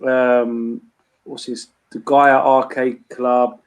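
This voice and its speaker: A man's voice: medium pitch, little pitch movement, takes it slowly, clear, resonant tone. A man, hosting a rambling, casual video-call chat and swearing freely. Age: 30s. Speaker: host.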